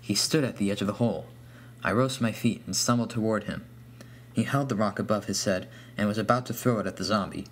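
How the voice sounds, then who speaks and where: Man, slightly deep voice, first-person horror narration, scripted, background hum. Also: fast.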